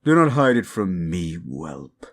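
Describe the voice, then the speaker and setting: A man playing a sly, mocking monster demon in an audio roleplay, with a theatrical, drawn-out, taunting delivery. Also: low voice, big pitch swings, full character voice. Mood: savoring, menacing. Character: sly, mocking classic monster demon, male, summoned to grant wishes. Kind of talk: scripted audio roleplay, ASMR.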